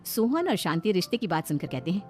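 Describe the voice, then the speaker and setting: A woman's voice: fairly low pitch, very animated, fast talker. A woman reading scripted narration for a cartoon story, as a storyteller. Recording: faint music behind the voice. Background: background music.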